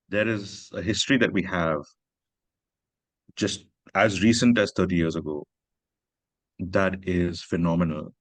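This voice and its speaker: A man from Pakistan talks about his work as an author in an earnest, reflective way. His voice sits very low. The sound is dry and close, with almost no room echo. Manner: earnest, reflective, frequent "you know" and "right". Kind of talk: conversational literary talk. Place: literary festival stage.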